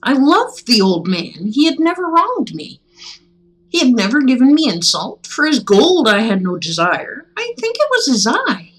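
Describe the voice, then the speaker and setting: A woman's voice: high-pitched, huge pitch swings, moderate pace, fairly bright tone, full character voice, low-quality recording. A woman playing a nervous, mad narrator of a gothic horror tale, intense and insistent in a dramatic storybook reading. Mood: obsessed.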